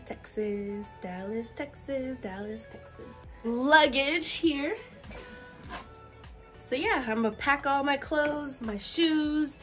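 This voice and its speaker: A young woman vlogger talks casually into her phone camera, a little nasal from a cold. Her voice sits slightly high, and clear music plays underneath. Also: fairly bright tone. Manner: chatty, addresses viewers as "you guys". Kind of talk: casual vlog chat to camera. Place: car interior, then bedroom. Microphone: phone camera at arm's length.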